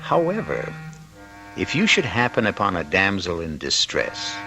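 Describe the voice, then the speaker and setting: A man's voice: deep voice, huge pitch swings, a little slow, heavily acted. A man playing a wry, ominous host, delivering droll, theatrical old-time radio narration. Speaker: mystery show host. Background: music.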